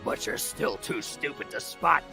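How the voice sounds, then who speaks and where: A man's voice: medium pitch, animated, unhurried, creaky, over music. A man voicing a bombastic, scornful comic-book villain in a theatrical, taunting manner. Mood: scornful, gloating. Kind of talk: cartoon table-read acting.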